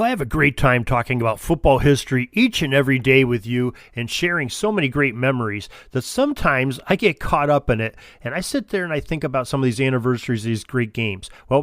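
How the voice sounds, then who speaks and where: A man's voice: mid-range voice, fairly bright tone, no echo, clean studio sound. A man hosting a sports history podcast, chatty and informal, talking straight to his listeners. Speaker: podcast host.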